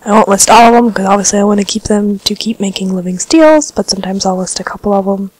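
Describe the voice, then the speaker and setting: A woman with a slight cold giving relaxed, chatty commentary over her gameplay, sounding a little congested. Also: medium pitch, quick pace, no echo, poor audio. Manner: relaxed, chatty, filler-heavy. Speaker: gaming vlogger.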